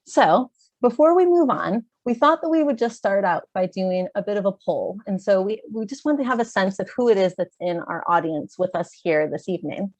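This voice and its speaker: A woman with an American accent from Chicago, a little congested, presenting a webinar in a clear, organized way with some "um" fillers. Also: mid-range voice, lively intonation, normal speed, a little mellow, no room sound. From Chicago, USA. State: slightly congested, clearing throat. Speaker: webinar presenter, education advisor. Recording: dull, dry video-call audio.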